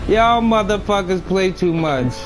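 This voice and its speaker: A man's voice: high-pitched, animated, a little slow. A young man chatting in a laid-back, slangy and profane way with friends during an online game. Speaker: friend in party chat. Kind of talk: casual gaming chat.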